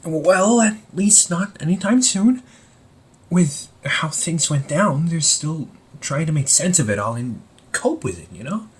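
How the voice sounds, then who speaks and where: A man playing an anxious, wry young supervillain in a casual audio roleplay, talking informally with exasperated sighs and halting phrases. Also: fairly high pitch, sing-song. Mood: urgent, confiding. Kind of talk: amateur audio roleplay, casual conversational acting.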